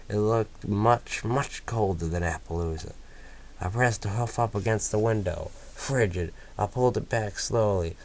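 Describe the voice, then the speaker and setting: A man reading a first-person story aloud in a steady storytelling manner. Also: fairly low pitch. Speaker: fanfiction reader.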